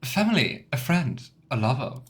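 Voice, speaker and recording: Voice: mid-range voice, very animated, moderate pace, fairly bright tone. A let's player giving casual, musing commentary on a game and reading dialogue options aloud. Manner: musing, chatty asides.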